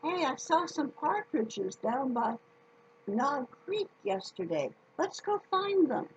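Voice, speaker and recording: Woman as an eager, high-voiced little frontier boy. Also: big pitch swings, slow pace, dull audio, exaggerated voice. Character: eager seven-year-old frontier boy, fearless and carefree.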